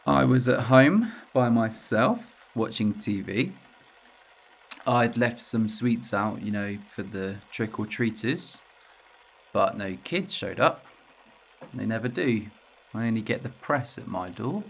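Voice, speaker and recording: Man acting a halting, guilt-ridden ex-convict. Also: fairly low pitch, lively intonation, creaky, exaggerated voice. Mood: subdued, ashamed. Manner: halting, self-loathing. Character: guilt-ridden male ex-convict widower confessing to murder, bitter and desperate. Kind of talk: audio drama acting.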